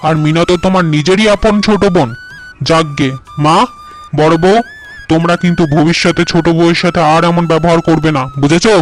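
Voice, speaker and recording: Man doing a stern cartoon farmer husband, scolding, high for a man, faint music behind. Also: fairly even intonation, fairly quick, natural voice. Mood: lecturing.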